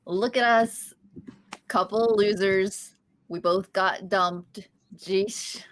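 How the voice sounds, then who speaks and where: A woman's voice: medium pitch, steady tone, extremely slow. A woman reading the part of a quirky, upbeat young woman nursing a breakup in a sitcom table read, chatty and a little goofy. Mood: earnest. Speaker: podcast host reading a part.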